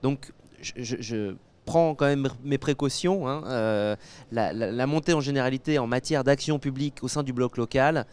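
A man in his thirties with an accent from France, giving analytical interview answers as an academic expert in a measured, halting way with many pauses. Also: medium pitch, darkish tone, clean tone. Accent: French from France. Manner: hesitant, measured, with frequent pauses and repeated words. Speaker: guest expert, academic geographer. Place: indoor interview set at a convention. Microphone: handheld microphone.

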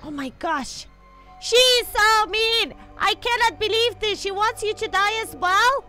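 A woman's voice: very high voice, lively intonation, measured pace, pushed and bright, full character voice. A woman voicing a sad, needy, dying baby girl angel in a children's Minecraft roleplay, sounding childlike. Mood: dismayed. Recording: music behind.

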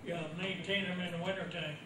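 A man's voice: high-pitched, steady tone, a little fast. A man from the audience asking direct questions at a public meeting. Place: council chamber audience. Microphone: far room camera. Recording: very echoey room from far off with noise.

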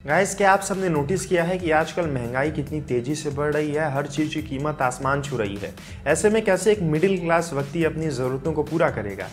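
A man's voice: fairly high pitch, lively intonation, a little fast, soft background music. A young man in his twenties hosting a tech news channel, presenting upbeat and direct to camera in a home studio, speaking on a lapel mic. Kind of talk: scripted tech news explainer. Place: indoor home studio with lit gaming setup.

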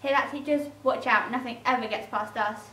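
A woman's voice: fairly high pitch, fairly even intonation, brisk, pushed and bright, recorded from a distance. A young woman playing a cocky, indignant student, sassy and self-assured, in a scripted mockumentary drama. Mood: indignant, smug. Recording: roomy, slightly noisy, off-mic.

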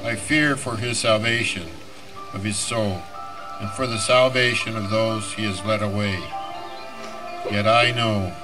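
A man's voice: lowish voice, fairly even intonation, a little slow, close to an everyday voice. An older man playing a sorrowful, devout father pleading in prayer in an amateur pageant. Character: sorrowful devout old father praying for his son. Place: outdoor stage at night. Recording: distant outdoor stage mic, clear music.

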